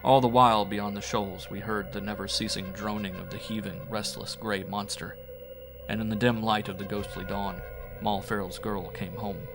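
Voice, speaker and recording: A man's voice: on the low side, level tone, normal speed, poor audio. A man narrating a horror audiobook in a theatrical, brooding, old-fashioned storytelling manner. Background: music.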